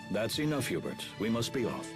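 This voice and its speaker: A man's voice: on the low side, very animated, a little fast. An actor playing a stern, cold, middle-aged aristocratic father, curt and commanding, in a game dub. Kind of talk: dramatic video game dub acting. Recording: music under the voice.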